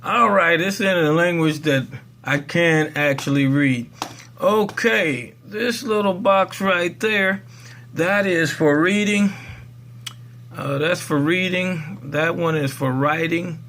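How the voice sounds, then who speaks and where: Casual man with a slightly high voice. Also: a bit bright. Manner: folksy, rambling, thinking aloud. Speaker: presenter of a software tutorial. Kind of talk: casual unscripted how-to walkthrough.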